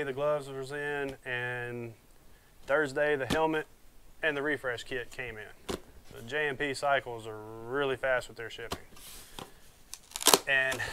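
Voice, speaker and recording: A man's voice: mid-range voice, background noise. A man, chatting casually and rambling a bit as he unboxes motorcycle gear on his vlog, recorded on a lapel mic in a small room at home. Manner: plainspoken, rambling, folksy. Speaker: motovlogger reviewing gear. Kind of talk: casual unboxing talk to camera. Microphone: wireless lapel mic.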